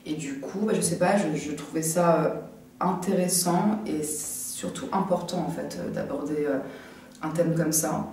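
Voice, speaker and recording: A young woman from France, an art student, answering interview questions casually and haltingly, often pausing to think. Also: low voice, darkish tone. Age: young adult. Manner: halting, thinking aloud with many pauses. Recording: very echoey, distant from the mic, faint noise.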